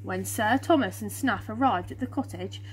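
A woman's voice: mid-range voice, normal speed, rough recording. A woman in her thirties with an English accent, reading a children's picture book aloud. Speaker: storyteller reading to schoolchildren. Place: small fenced garden, outdoors. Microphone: camera or phone a short distance away. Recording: outdoor phone recording with background hum.

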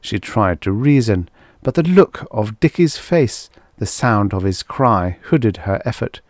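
A man reading literary science fiction as an audiobook narrator, with measured, expressive delivery and deliberate phrasing. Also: fairly low pitch, no room sound, studio quality.

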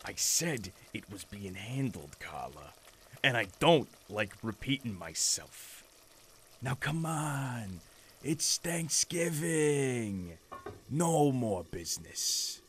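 A man playing a coldly authoritative, controlled crime boss in a noir radio drama, speaking slowly and deliberately. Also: mid-range voice, very animated, a bit bright, a little hiss or hum. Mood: cold restraint.

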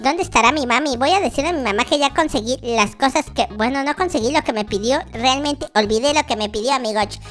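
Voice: high-pitched, fairly quick, few pauses, a bit bright, soft background music. A performer voicing a whiny, naive baby boy in a Minecraft roleplay, with childish wording, exclamations. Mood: silly, chatty. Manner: childish diminutives, exclaiming, addresses viewers constantly. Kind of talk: in-character Minecraft roleplay commentary.

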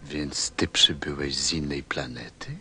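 Very deep, slow-spoken, patient pilot played by a man. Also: steady tone, over loud music. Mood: amused, curious. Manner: hesitant, musing, patient. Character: stranded adult pilot, puzzled, patient and obliging. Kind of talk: radio play acting.

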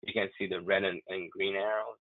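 A man walking through a live software demo, enthusiastic and chatty, often restarting his sentences. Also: low voice, little pitch movement, fairly quick, no room sound. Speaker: software demonstrator. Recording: tinny phone-like, dry audio.

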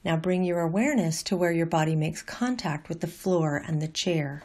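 A woman with a mellow, fairly low voice leads a guided meditation. She reads her scripted instructions gently and calmly. Her delivery is unhurried, like a teacher walking listeners through each step.

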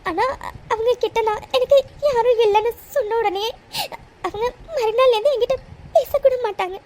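A high, tear-choked young woman's voice, from an actress playing a vulnerable orphan in a romantic drama. She stumbles and repeats her words while crying. A faint hiss sits under the recording. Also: darkish tone. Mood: tearful. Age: young adult. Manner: tearful, halting, repeats words.

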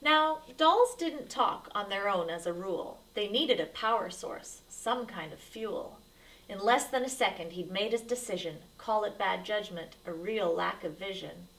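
A woman's voice: medium pitch, measured pace, gravelly, a bit bright, far from the mic, rough recording. A woman reading a rhyming picture book aloud to children as a storyteller, with dramatic, emphatic delivery.

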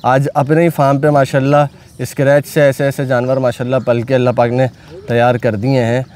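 A man's voice: mid-range voice, flat delivery, brisk, a little mellow. A young man with a Pakistani Urdu accent, a cattle farm owner chatting casually and enthusiastically from behind the camera outdoors. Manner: enthusiastic, repeats blessings often. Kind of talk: casual vlog narration.

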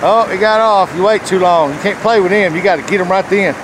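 A middle-aged man, chatting casually in a folksy, plain-spoken way for an outdoor fishing vlog. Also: high voice. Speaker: fishing vlogger. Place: outdoors on a rocky riverbank. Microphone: handheld phone at arm's length. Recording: noisy outdoor phone video, rushing water. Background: rushing river water and outdoor ambience.

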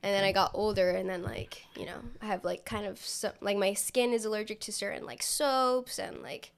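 Young woman co-host, twenties, slight fry, recovering from a cold, loose chatty delivery at a studio mic. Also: mid-range voice, fairly even intonation, moderate pace. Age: 20s. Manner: chatty, laughs easily, trailing sentences. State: getting over a cold, dry throat. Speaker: podcast co-host. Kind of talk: casual chat. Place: small studio room with purple lights, indoors. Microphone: studio mic on a stand with headphones.

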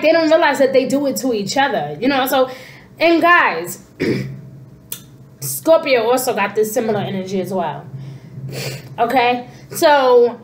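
Congested woman, high voice, echoey room. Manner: animated hand gestures, frequent "you know" and "okay". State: congested, stuffy nose. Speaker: tarot reader. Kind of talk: casual spiritual reading to camera. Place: small room indoors. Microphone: camera at desk distance.

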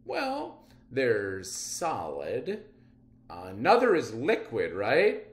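A man's voice: medium pitch, huge pitch swings, reverberant, heavily acted. A man voicing a hesitant, slightly dim junior police officer from a comic book. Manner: hesitant, drawn-out.

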